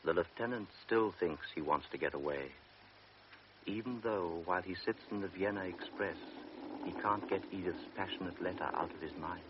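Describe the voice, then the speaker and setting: A male narrator of a vintage radio drama, measured and steady. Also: low voice. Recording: dull, hissy old radio recording.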